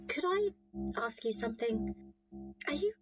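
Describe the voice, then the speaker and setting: An actress playing an earnest, anxious daughter in a science fiction audio drama, recorded on a dull microphone under music. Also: female voice, fairly high pitch, animated, measured pace. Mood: worried, curious.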